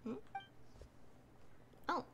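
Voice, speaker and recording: A woman's voice: very slow, pushed and bright, slight background noise. A woman live-streaming a video game, commenting casually and reading game text aloud.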